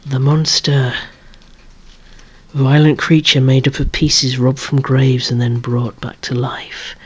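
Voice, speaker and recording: A softly whispering collector presenting a toy collection ASMR-style, in a rambling, chatty way with lightly wry asides. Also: medium pitch, flat delivery, takes it slowly. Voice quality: breathy, hushed whisper.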